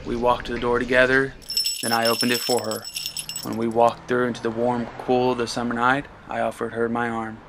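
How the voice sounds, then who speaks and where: A man's voice: mid-range voice, completely flat delivery, brisk, creaky, very noisy. A man giving a dramatic first-person reading of a story as its narrator. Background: guitar music.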